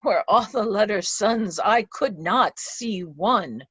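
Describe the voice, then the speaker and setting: A woman's voice: medium pitch, lively intonation, slow, a bit bright, husky, no echo, heavily acted. A woman playing a grieving, blind old earl in readers' theatre Shakespeare, sorrowful and pleading.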